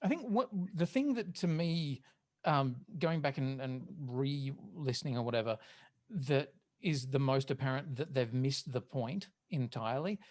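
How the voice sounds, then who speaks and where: A woman's voice: very deep voice, expressive, unhurried, a little mellow. A woman talking casually and swearing freely as a podcast panelist.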